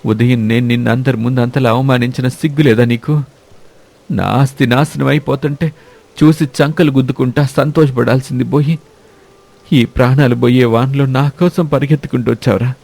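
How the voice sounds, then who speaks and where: A man acts out a proud, well-off village elder brother in a cartoon moral tale. His mid-pitched voice is full of anguish and pleading. The speech is rustic and openly emotional. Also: steady tone, moderate pace, gravelly, darkish tone. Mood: tearful disbelief. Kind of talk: dramatic moral-story cartoon voice acting.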